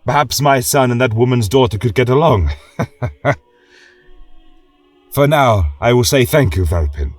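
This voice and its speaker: A man voicing a gentle, reflective horned and tailed war veteran, earnestly and thoughtfully reading out his fantasy journal. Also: medium pitch, animated, a little slow, pauses often, breathy edge, no room sound, voice pushed up. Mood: hopeful. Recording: distant mic with music behind.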